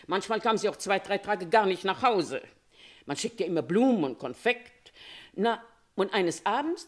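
An actress in a German radio crime play voicing a talkative, gossipy Paris concierge who tells things in a chatty, confiding, opinionated way. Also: female voice, fairly low pitch.

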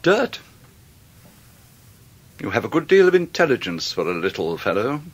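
A man playing a controlling, menacing English stepfather in a radio drama, clipped and deliberately polite. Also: lowish voice, sing-song, slightly rough. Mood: quiet menace.

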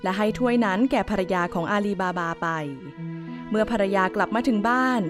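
A woman's voice: mid-range voice, fairly quick. A woman reading a scripted fairy tale for children as a storyteller. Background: music.

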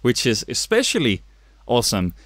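A man's voice: mid-range voice, wildly swooping pitch, moderate pace, a bit bright, no echo. Danish guy doing game commentary, bouncy voice, dry room, cracks jokes at himself. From Denmark. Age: young adult. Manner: jokey, chatty, self-mocking asides. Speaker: gaming YouTuber. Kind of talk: let's play commentary. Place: home gaming setup, indoors.

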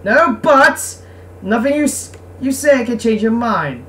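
A woman's voice: fairly high pitch, animated, slow pace, pitched above the performer's own voice. A woman voicing a proud, touchy merchant craftsman in a visual novel, with formal, emphatic delivery. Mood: indignant. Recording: noisy, distant microphone.

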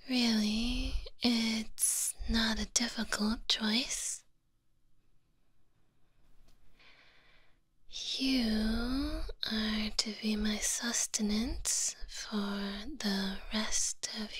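A woman playing a haughty, coaxing female vampire in a breathy whisper for an ASMR roleplay, delivered deliberately and theatrically up close to a binaural microphone. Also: on the high side, fairly bright tone, no echo, heavily acted. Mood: softly possessive.

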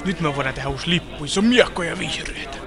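A man's voice: a little high, lively intonation, over music. A man playing a teasing, easygoing young shipmate in an anime parody dub, talking casually. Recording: loud crowd and background noise.

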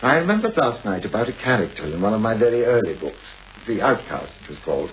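Radio play novelist: British, fussy, anxious, formal and hesitant, with very lively intonation, on a dull, hissy old recording. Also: male voice, fairly low pitch, fast. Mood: confiding, troubled. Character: anxious, mannered male novelist, fussy and self-absorbed.

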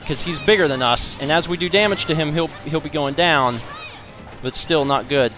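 A man's voice: fairly high pitch, moderate pace. A young man casually commenting on a co-op game as a let's play host, upbeat and chatty, thinking aloud while he plays. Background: game music and sound effects.

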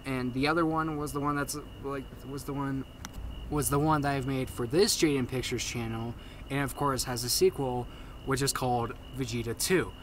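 A young man who stutters, giving a casual update to camera in a halting way, repeating and restarting his phrases. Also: mid-range voice, fairly even intonation, slight background noise, poor audio. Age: young adult. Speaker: video maker giving a channel update. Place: outdoors at night on a paved path.

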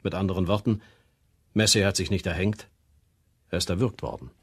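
Deep, husky pathologist. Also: male voice, steady tone. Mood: clinical, firm. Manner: measured, clinical, explanatory. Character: precise, sceptical male pathologist reporting autopsy findings. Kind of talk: German radio crime drama acting.

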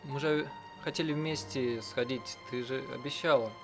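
Man dubbing a chatty young woman, haltingly. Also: mid-range voice, music in the background, lightly acted. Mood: chiding. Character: young women on a phone call, chiding and chatty.

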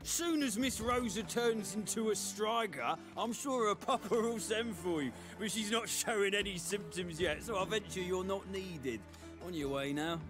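A man's voice: high-pitched, normal speed, music in the background, close to an everyday voice. A man acting a cheeky, dismissive gatekeeper guard in a video game, mocking and insolent.

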